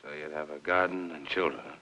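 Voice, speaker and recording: An actor playing a bitter, suspicious, bedridden husband in an old noir drama, measured and condescending. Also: male voice, a bass voice, steady tone, bright, forceful tone, heavily acted. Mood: weary, probing.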